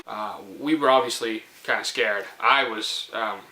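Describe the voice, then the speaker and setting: A young man hosting a vlog, telling a story casually in a jokey, animated, teasing way. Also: fairly low pitch, a little slow, slight background noise, poor audio. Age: young adult.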